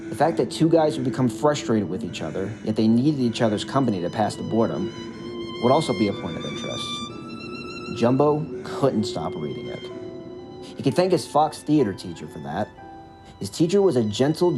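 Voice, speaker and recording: A man narrating a scripted character backstory as an audio drama, in an expressive, dramatic storytelling manner. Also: mid-range voice.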